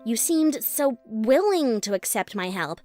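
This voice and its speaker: A woman's voice: on the high side, big pitch swings, unhurried, warm tone, pitched above the performer's own voice. A woman voicing a caring, shy young female pony in a cartoon fan fiction reading. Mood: concerned, nervous. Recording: faint music behind the voice.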